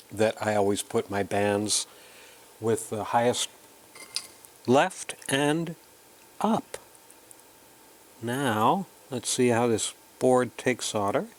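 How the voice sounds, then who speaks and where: A man narrating a hands-on tutorial in a casual, halting way, thinking aloud as he works. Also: fairly low pitch. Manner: unhurried, halting, thinking aloud while working. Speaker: electronics hobbyist presenting a kit build.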